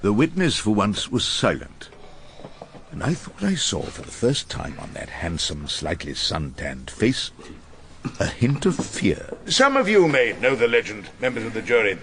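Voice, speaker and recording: An actor playing a wily, irascible older English defence barrister in a radio drama, theatrical, probing and dryly ironic. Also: male voice, fairly low pitch, wildly swooping pitch, unhurried, fairly bright tone, slight background noise, full character voice. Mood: wry narration, then pointed, ironic. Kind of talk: radio drama courtroom acting and narration.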